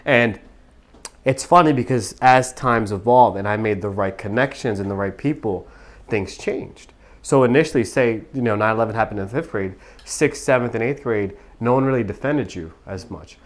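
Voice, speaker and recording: A man in his thirties, originally from Pakistan, speaking in a fairly low voice. He tells a personal story as an interview guest, earnest and reflective, and often checks in with "right?". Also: moderate pace.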